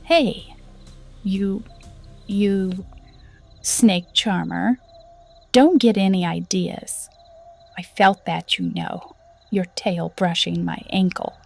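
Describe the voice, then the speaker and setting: A woman's voice: mid-range voice, takes it slowly, vocal fry, long pauses, soft background music. A woman playing a bold, playful visitor teasing a serpent shifter in an ASMR roleplay.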